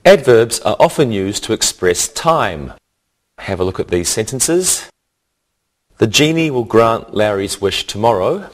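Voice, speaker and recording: Male language teacher, slow and fairly low, deliberate instructional delivery of scripted grammar explanations. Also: sing-song. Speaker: English teacher. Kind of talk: scripted grammar lesson narration.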